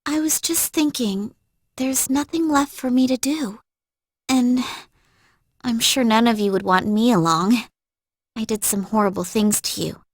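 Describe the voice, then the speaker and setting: A woman's voice: fairly high pitch, unhurried, no room sound, clean studio sound. A woman playing a meek, guilt-ridden, self-effacing young character in an anime game dub. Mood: remorseful.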